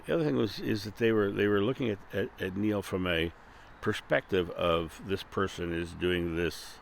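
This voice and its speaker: A man with a very low, booming voice talks casually to his viewers about spiritual ideas. He is earnest and often trips into restarts and repeated words as he thinks aloud. A faint background hiss sits under the recording. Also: creaky. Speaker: channel host. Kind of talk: casual spiritual talk to camera. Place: Akron, Ohio.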